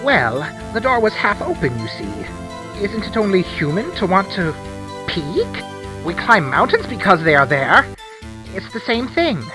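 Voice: mid-range voice, wildly swooping pitch, measured pace, over music, poor audio. A performer with a woman's-sounding voice playing a shifty, wordy salesman giving theatrical testimony in a game fan dub. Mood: nervous, evasive.

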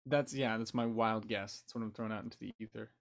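A man's voice: fairly low pitch, steady tone, fast talker, creaky. A young man chatting casually as a guest on an online panel.

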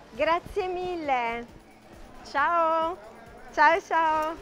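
High-pitched Italian woman teacher, unhurried. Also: fairly bright tone. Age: middle-aged. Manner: didactic, repeats key words with translations. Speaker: language and culture teacher. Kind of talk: informal on-location teaching, presenting to camera. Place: food market stalls and deli shop.

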